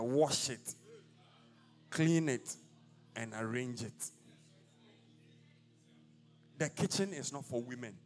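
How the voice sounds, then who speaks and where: A Ghanaian man preaches in a slightly raised voice, swinging between loud declaiming bursts and quieter conversational asides. His sermon carries through a church service. Soft music plays faintly beneath his words. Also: a little high. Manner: declamatory, prays in rising bursts then drops to casual asides. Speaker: apostle preaching.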